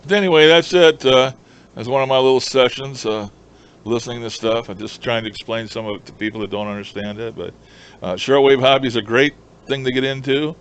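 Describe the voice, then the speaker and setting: A man's voice: medium pitch, brisk, slight background noise. A man chatting casually in a folksy, rambling way as he explains his hobby. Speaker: shortwave radio hobbyist presenter.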